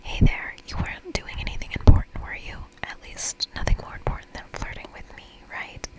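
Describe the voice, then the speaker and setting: A woman's voice: fairly quick, lightly acted. Woman whispering as a flirty, brash goth witch. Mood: flirty teasing. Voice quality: whispered, breathy. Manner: teasing, cocky, flirtatious.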